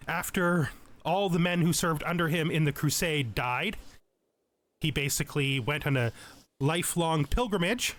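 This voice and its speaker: A man with a somewhat higher-pitched voice hosts a game walkthrough. He talks casually and in an explanatory way, thinking aloud and correcting himself along the way. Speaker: let's play tutorial host. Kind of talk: casual game tutorial commentary.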